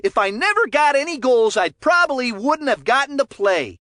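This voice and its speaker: A woman voicing an excitable, chummy ex-player color commentator in a cartoon hockey game, with folksy, chatty delivery and mild exclamations. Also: high-pitched, moderate pace, no echo, studio quality. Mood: chatty, explanatory.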